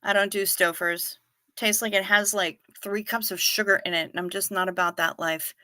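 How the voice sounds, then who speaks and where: Fifty-something lady chatting on her stream, wry and sweary, bright voice, dry sound. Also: medium pitch, steady tone, moderate pace, no room sound. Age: 50s. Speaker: livestream host. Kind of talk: casual livestream chat reading comments.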